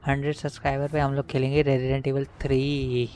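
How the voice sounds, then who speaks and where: A man's voice: mid-range voice, monotone, very fast, a little mellow, slight background noise. A man with an Indian accent giving casual live gameplay commentary as a streamer, game music behind him.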